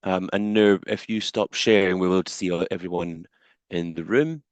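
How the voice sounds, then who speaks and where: Man, webinar host, polite and hesitant, lowish pitch, dry close sound. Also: steady tone, a little fast, no room sound. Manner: polite, hesitant with frequent ums. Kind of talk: moderating a question session. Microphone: video call.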